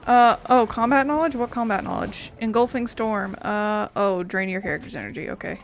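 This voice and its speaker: A woman's voice: medium pitch, moderate pace, slight background noise. A woman giving casual, blunt, playful let's play commentary, with some swearing. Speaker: gaming streamer.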